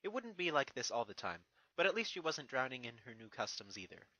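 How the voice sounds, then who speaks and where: A narrator reading a scripted fan fiction story in an expressive storytelling manner. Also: medium pitch, fairly quick, no echo, poor audio.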